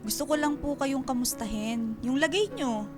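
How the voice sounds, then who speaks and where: High-voiced, caring Filipino daughter. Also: female voice, animated, normal speed, a little mellow, soft background music. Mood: concerned, coaxing. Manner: coaxing, nagging, respectful to her mother. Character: caring, insistent adult eldest daughter Wendy. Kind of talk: Filipino radio drama acting.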